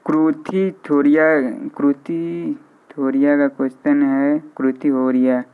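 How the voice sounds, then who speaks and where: A man with an Indian accent teaches an informal online class in a smooth, clean voice that sits a little high. He repeats key phrases and drops in English terms as he goes. The audio is somewhat dull and lo-fi. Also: little pitch movement, a little slow. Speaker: physiotherapy lecturer hosting a live online class. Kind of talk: informal live lecture with audience questions. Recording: slightly dull, band-limited audio.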